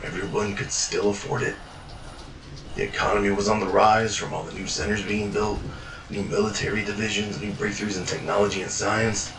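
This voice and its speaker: Male narrator, very low voice, weary storyteller who curses; roomy, distant sound with background noise. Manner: weary, world-worn storytelling with frequent swearing. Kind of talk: first-person fiction journal narration. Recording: echoey room, distant mic, noisy.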